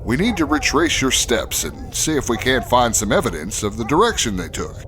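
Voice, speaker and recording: A man's voice: mid-range voice, sing-song, brisk, lightly acted. A man playing an earnest, commanding Mountie sled driver in an old-time radio adventure style. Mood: earnest, serious. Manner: stalwart, declamatory.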